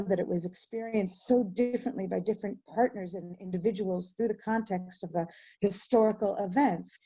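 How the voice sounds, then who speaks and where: A woman hosting an online commemoration, speaking informally and reflectively in an earnest, emphatic manner with deliberate stresses. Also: mid-range voice, warm tone. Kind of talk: informal reflective webinar talk. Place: indoors on a webinar call. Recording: muffled, roomy online webinar audio.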